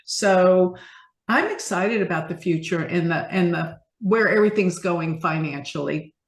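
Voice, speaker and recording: A woman's voice: on the low side, measured pace. A woman explaining astrology as an interview guest, emphatic and enthusiastic, often posing questions and answering them herself. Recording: roomy, echoing sound.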